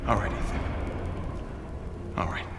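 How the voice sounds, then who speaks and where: Actor as gruff commanding soldier in echoey space. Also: male voice, a little slow, over loud music, natural voice. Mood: subdued explaining. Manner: blunt, authoritative, terse. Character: gruff commanding military man, terse and secretive. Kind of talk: dramatic video game acting. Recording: echoey hall-like reverb, clear background noise.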